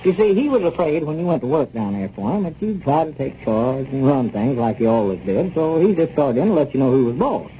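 Guy doing folksy neighbor smoothing things over, expressive pitch, 1930s radio comedy, noisy old recording. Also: a little fast, hardly any pauses, lo-fi sound, lightly acted. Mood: earnest, placating. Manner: earnest, folksy, placating. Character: well-meaning small-town male neighbor, reasonable peacemaker.

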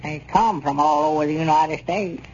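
Man acting a high-voiced rustic Southern storekeeper. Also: normal speed, darkish tone, lightly acted. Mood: exasperated. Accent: rural Southern US. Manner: rustic, drawling folksy talk. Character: folksy, excitable rural Arkansas storekeeper and town lawman. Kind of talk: 1930s radio comedy serial acting. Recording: dull, noisy old 1930s radio recording.

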